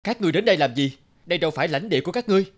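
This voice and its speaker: Young archer hero: earnest and bold, cartoon fairy tale, lightly raised pitch. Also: male voice, expressive, very fast. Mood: stern. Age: young adult.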